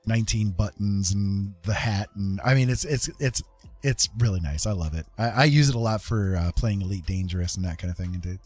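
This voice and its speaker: A man hosting a live stream in a casual, rambling chat, often pausing mid-thought and repeating his phrases. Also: sits low, a little mellow, husky.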